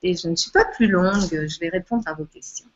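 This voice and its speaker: A woman's voice: on the low side, brisk. A woman who is a political candidate, speaking hesitantly with many fillers in a live video chat. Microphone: video call. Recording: dull lo-fi video call audio.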